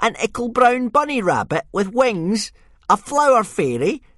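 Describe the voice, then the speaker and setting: A man voicing a boastful, bullying Viking boy with a high, shrill, mocking voice. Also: big pitch swings, a little slow, fairly bright tone, no room sound, studio quality, heavily acted.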